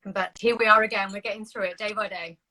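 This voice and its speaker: A woman from Yorkshire with a mix of Yorkshire and London in her accent, a PR business owner chatting as a guest on a livestream in a friendly, relaxed way. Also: medium pitch, quick pace, slight background noise, low-quality recording. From Yorkshire, England. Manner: friendly and relaxed, says "like" a lot. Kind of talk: casual video chat.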